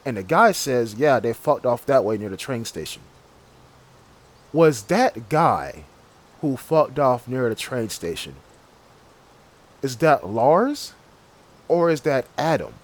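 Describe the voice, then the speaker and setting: A man giving casual, conversational commentary as a gaming video creator, repeating points for emphasis. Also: medium pitch. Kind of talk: casual game lore commentary.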